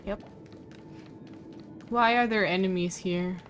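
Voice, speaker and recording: A woman casually commentating as she streams a game, talking to herself in a relaxed way with mild swearing. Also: mid-range voice, slow pace. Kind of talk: casual live gameplay commentary. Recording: game music loud behind voice. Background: video game music.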